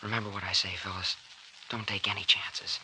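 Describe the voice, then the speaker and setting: Intense, sharp-voiced young student. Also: male voice, low-pitched, little pitch movement, brisk, poor audio. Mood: anxious, protective. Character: earnest, tense young male university student, defiant. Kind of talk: old-time radio drama acting. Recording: vintage radio recording with faint noise.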